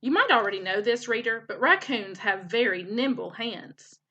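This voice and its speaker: A woman reading a children's story aloud as a teacher would, in an expressive storytelling style. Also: a little high, moderate pace, lo-fi sound. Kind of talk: animated children's storybook narration.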